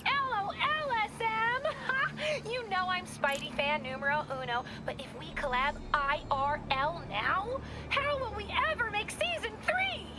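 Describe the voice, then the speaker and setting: Taunting young prankster villainess, video game, drawn-out slangy delivery, very high voice, loud traffic noise. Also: female voice, lively intonation. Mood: smug taunting. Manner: spells out internet slang, mocking, theatrical.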